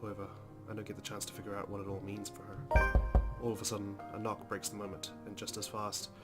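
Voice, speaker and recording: Male let's player reading story text in a low, level voice, prominent guitar music underneath. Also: monotone, fast talker. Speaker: let's player narrating a visual novel. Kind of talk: reading story narration aloud with casual asides.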